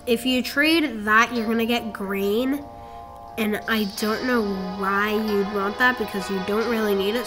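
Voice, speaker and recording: A woman giving a casual game walkthrough, talking the viewer through steps in a rambling, self-correcting way. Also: a little high. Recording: game music playing behind the voice.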